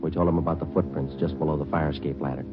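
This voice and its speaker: Dull vintage radio recording, businesslike police detective, very deep voice, deadpan, clipped, factual radio crime drama delivery. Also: male voice, rapid-fire, over music. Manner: clipped, deadpan, matter-of-fact. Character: stoic, businesslike male police detective who narrates. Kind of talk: old-time radio drama acting and narration.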